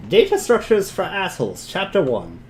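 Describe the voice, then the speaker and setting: A scornful, exasperated author sneers at the reader as a man acts him out in a webcomic reading. The voice is on the high side and very animated, tossing out insults with open contempt. There is slight noise behind it. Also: moderate pace. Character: contemptuous, foul-mouthed author of an insulting how-to textbook.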